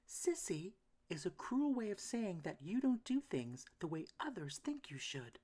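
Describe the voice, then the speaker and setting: A woman voicing a gentle, loving duck mother with a soft, soothing manner in a storybook. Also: lowish voice, very animated, measured pace, voice pushed up. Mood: tender.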